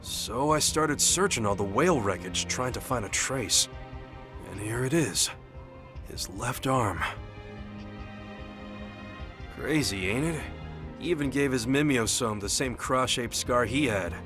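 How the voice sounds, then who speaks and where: An actor playing an earnest, rough-edged young American soldier devoted to his missing partner, speaking plainly and colloquially in a game cutscene. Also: medium pitch, expressive, measured pace, close to an everyday voice. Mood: wistful. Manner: colloquial, wistful, plain-spoken. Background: music.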